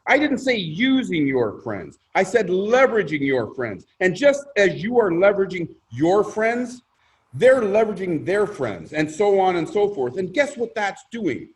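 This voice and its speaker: A male presenter with a high voice delivers a motivational sales pitch. He is emphatic and theatrical, building his points through repetition and questions put to his listeners. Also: sing-song, measured pace. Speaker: presenter pitching a crowdfunding scheme.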